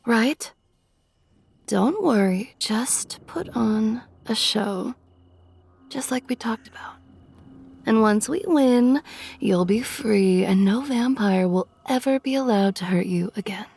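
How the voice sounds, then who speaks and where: A woman playing a commanding, condescending aristocratic vampire in a dark comedy audio drama, sweetly menacing. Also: medium pitch, creaky, breathy edge, heavily acted.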